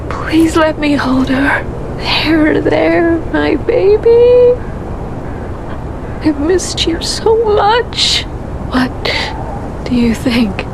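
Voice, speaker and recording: Breathy, very slow, doting mother. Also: sits high, noisy background. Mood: longing, adoring. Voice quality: breathy, half-whispered. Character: frail, deluded doting mother cradling her baby. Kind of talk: dramatic video game cutscene acting.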